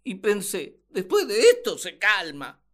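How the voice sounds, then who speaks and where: A woman's voice: mid-range voice, wildly swooping pitch, a little slow, a bit bright. A woman playing Adam as an exasperated, naive and plaintive first man in a comic radio drama.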